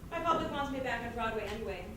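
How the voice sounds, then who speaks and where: A woman's voice: a little high, expressive, very fast, a bit bright. A woman playing a theatrical, imperious, self-pitying film star in stage comedy style, dramatically repeating her words. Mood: haughty, demanding. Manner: melodramatic, repeats words for effect. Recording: very echoey stage, distant mic, noisy.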